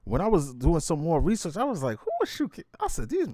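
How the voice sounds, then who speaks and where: A man's voice: on the high side, wildly swooping pitch, a little fast, dark tone, no room sound. A man interviewing in a casual, slangy and affirming way, full of enthusiasm. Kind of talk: casual chat.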